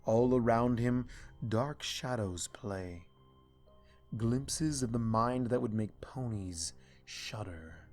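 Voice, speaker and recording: A man reading a dark fan story aloud in a slow, hushed, brooding way. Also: medium pitch. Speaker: fanfiction reader. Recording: soft music underneath.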